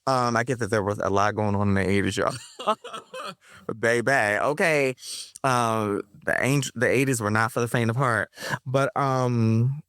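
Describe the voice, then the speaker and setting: A woman being interviewed as a playwright, talking in a chatty, animated way, with frequent fillers and bits of laughter. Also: very deep voice, measured pace, no echo, clean studio sound. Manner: animated, chatty, addresses listeners as y'all. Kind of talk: casual interview conversation.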